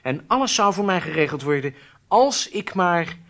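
An actor playing a weak-willed, cornered spy who confesses, hesitant and submissive, in a radio drama. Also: male voice, high-pitched, lively intonation, moderate pace, creaky, a bit bright, full character voice. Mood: confessing.

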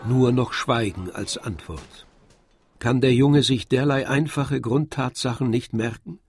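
A man narrating a literary radio drama from a script in a measured storytelling manner. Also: medium pitch, husky, no echo. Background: faint music.

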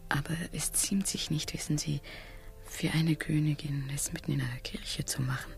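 A comic radio play queen of Spain, with an unusually low female voice. She is coquettish and theatrically passionate, breathing every phrase suggestively over faint music. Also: creaky.

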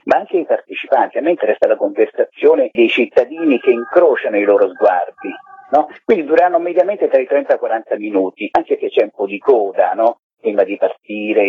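A man's voice: medium pitch, breathy edge, dry recording. A middle-aged or older man explaining things conversationally as an event curator in a radio interview, checking in with short tag questions. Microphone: telephone. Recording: tinny, narrow-band phone line.

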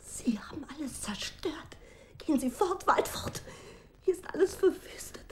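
A woman's voice: high-pitched, animated, moderate pace, a little mellow. An actress playing a bitter, accusing young woman in a radio drama, half-whispering intensely. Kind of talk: German radio drama acting.

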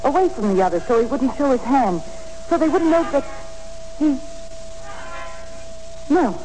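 Casting: loyal noir heroine, young and dramatic, very animated pitch, old radio recording with long reverb. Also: female voice, unhurried, soft background music. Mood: dawning horror. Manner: dramatic, emotional. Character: smart, loyal young woman in danger. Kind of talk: 1940s radio acting.

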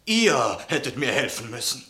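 An actor in a German radio play, playing an indignant but soft-hearted outsider with an impassioned, reproachful delivery. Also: male voice, mid-range voice, sing-song, moderate pace, roomy sound.

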